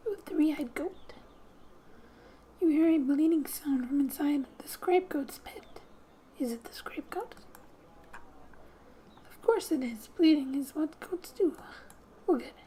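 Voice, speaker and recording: A woman softly narrating a game as ASMR host, breathy and often near a whisper, with gentle, unhurried, playful asides. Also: high voice, slight background noise. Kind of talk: soft-spoken ASMR game narration, reading text aloud with asides.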